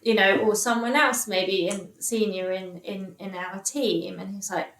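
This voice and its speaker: A woman in her thirties talks through a personal story as a podcast guest. She speaks at a middling pitch, stumbling and restarting often, quoting back what others said. The room around her rings with echo. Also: steady tone, a bit bright. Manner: halting, many restarts and fillers, quotes others. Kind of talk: candid interview storytelling. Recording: echoey room.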